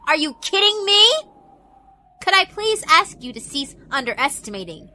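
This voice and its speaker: Haughty, cruel yokai villainess, theatrically taunting. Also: female voice, high voice, sing-song, moderate pace, bright, forceful tone, voice pushed up, heavily acted. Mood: haughty, threatening. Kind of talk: horror visual novel voice acting.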